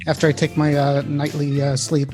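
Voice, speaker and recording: A man co-hosting a podcast in casual chat, speaking haltingly and repeating himself. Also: a little high, completely flat delivery, over music. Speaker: podcast co-host.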